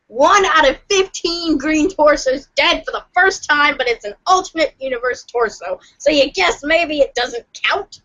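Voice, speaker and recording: Woman reader, high bright voice, measured dramatic script read, slightly muffled home podcast sound.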